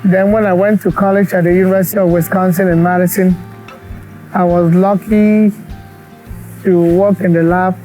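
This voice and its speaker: A man in his forties from Cameroon speaks in a documentary interview, his voice high for a man and warm in tone. He talks earnestly and reflectively, with thoughtful pauses, while soft music plays faintly behind him. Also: little pitch movement, poor audio. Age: 40s. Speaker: doctor and brain cancer researcher.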